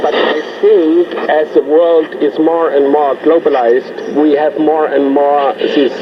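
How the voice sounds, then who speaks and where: A man's voice: fairly high pitch, little pitch movement, slow pace, highs cut off, crowd noise. A man giving unscripted commentary as an interviewee, heard over a noisy shortwave radio. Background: radio static and noise.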